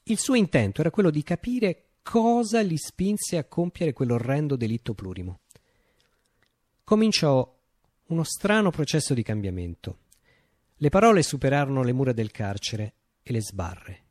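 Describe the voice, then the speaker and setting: A man reciting a theatrical monologue for radio, declaiming with dramatic pauses and emphatic phrasing. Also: mid-range voice, sing-song, a little mellow, no room sound, studio quality.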